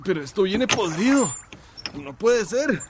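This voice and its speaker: Puzzled fisherman, high voice, exclaiming, radio drama, noisy boat background. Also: sing-song, measured pace. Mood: alarmed. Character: dismayed fisherman puzzled by murky water. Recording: noisy outdoor boat soundscape.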